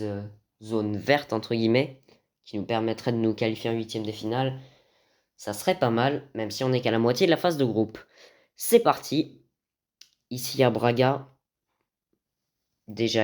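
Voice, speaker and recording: Male gaming host, medium pitch, hesitant football game commentary with frequent mid-phrase pauses. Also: darkish tone.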